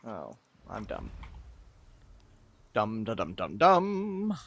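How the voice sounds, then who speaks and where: A man chatting casually as he streams a video game, with a lively delivery. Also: on the low side, drawn-out words, creaky. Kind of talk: casual chat while playing a game.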